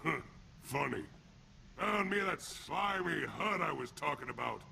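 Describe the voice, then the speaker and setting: Gravelly, brutish alien gangster thug. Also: male voice, fairly high pitch, animated, measured pace, noisy background, full character voice. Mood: menacing. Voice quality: gravelly, rough. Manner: crude street-gangster slang, taunting and blunt. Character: brutish, sneering male alien thug and ex-convict enforcer. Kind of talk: video game character acting.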